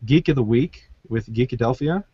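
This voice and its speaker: A man with a medium-pitched voice hosts a podcast interview. His delivery is casual and conversational. The sound is very dry and a little muffled, like modest lo-fi audio. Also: expressive, moderate pace, warm tone, slightly rough, dry recording. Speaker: podcast host.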